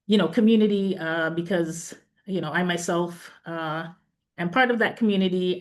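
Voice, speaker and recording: Woman, from the West Indies, low-ish voice, hesitant, lots of fillers. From Saint Vincent and the Grenadines. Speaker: panelist, project manager. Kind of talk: panel self-introduction.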